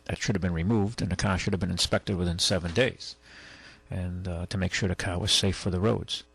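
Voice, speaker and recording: A man hosting a call-in radio show, giving casual advice in a halting, rambling way. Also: sits low, steady tone, vocal fry, fairly bright tone, slightly rough, no room sound. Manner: halting, rambling, conversational explanations. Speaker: radio call-in show host, car dealer.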